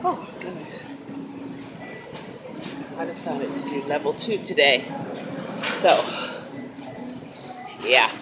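Slow, chatty woman vlogging from a noisy gym. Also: sits low, expressive. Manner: chatty, encouraging, slow and easygoing. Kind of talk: casual workout vlog. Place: indoor gym, large room. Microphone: phone camera at arm's length, wired earbuds. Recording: loud gym noise behind.

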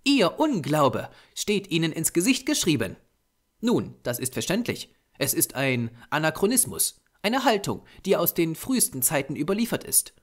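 A man's voice: a little high, sing-song, normal speed, pitched above the performer's own voice. A man voicing a small, grey-haired Japanese doctor, a patient, earnest occultist lecturing formally in an audiobook. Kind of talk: audiobook character voice.

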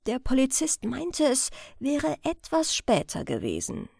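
A woman reading a crime story as an audiobook narrator in a measured, careful storytelling manner. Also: high-pitched, wildly swooping pitch, vocal fry, a little mellow, no echo, clean studio sound.

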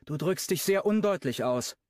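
A man's voice: animated, moderate pace, a little mellow, dry recording, clean studio sound, lightly acted. A man voicing a fiery young woman. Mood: questioning. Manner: pitch raised high into a woman's register. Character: fiery young woman, sharp-tongued and stubborn.

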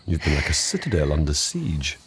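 An actor in a radio drama playing a haughty, urbane lord of an old Japanese court, talking in a dry, deliberate manner. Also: male voice, extremely low pitch, very animated, slightly rough, heavily acted. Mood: sly, disdainful. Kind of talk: BBC radio drama acting.

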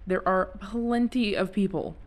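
Audio roleplay: woman as devoted, exasperated friend secretly in love, conversational, emphatic, mid-pitched. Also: close to an everyday voice. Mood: exasperated, impassioned. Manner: halting, repetitive, emphatic. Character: protective, exasperated friend secretly in love, driving someone home. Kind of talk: intimate ASMR audio roleplay acting.

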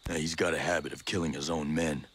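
A man's voice: a bass voice, steady tone, fast talker, a bit bright, husky, full character voice. A man playing a stern, suspicious soldier in a video game, blunt and terse. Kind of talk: video game cutscene acting.

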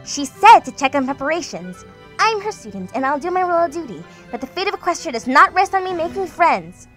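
A woman's voice: sits high, big pitch swings, brisk, a bit bright, faint music behind. A woman voicing a studious, bossy young female unicorn in a cartoon fan dub, speaking precisely and insistently. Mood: defiant.

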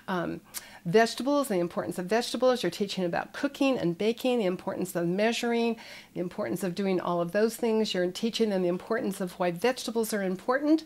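A woman's voice: medium pitch, darkish tone. Older lady talking to the camera, friendly storyteller, runs through lists of things. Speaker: parenting show host. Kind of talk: talking-to-camera parenting advice. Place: indoors, room with children's books behind.

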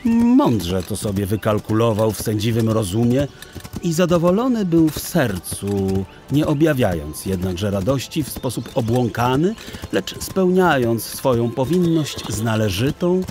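A man narrating a children's audiobook in an expressive, theatrical storytelling style with deliberate phrasing. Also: medium pitch.

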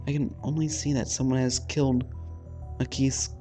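A man's voice: mid-range voice, flat delivery, moderate pace, mellow. A man hosting a let's play, reading visual novel narration aloud dramatically and stumbling over the text now and then. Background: faint game music.

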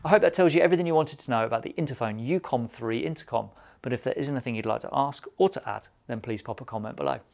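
A man's voice: mid-range voice, quick pace, gravelly, a little mellow. A man in his fifties with a British accent presents a product review in a conversational, matter-of-fact way. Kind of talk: scripted product review to camera. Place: indoor studio with shop display shelves.

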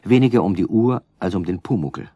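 Calm guy narrating a kids radio play, low voice, dry. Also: a little fast, slightly rough, no echo. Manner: calm, addresses listeners. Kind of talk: scripted storybook narration.

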